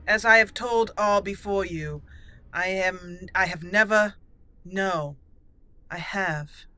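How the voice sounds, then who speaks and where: A woman's voice: medium pitch, slow pace, pushed and bright, no room sound. A woman hosting a roleplay let's play, chatty and moving between commentary and in-character lines. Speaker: gaming host.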